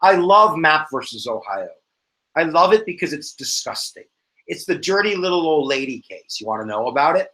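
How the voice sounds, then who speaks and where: A man with an American accent teaching a casual, joking exam review lesson in a slangy, animated way. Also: a little high, normal speed, rough recording. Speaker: history teacher.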